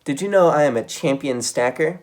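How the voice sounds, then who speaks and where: Man putting on an eager high-pitched little boy mouse. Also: brisk, a little mellow, natural voice. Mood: eager, boastful. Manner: chirpy, eager to please. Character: small eager boy mouse nephew, sweet and boastful.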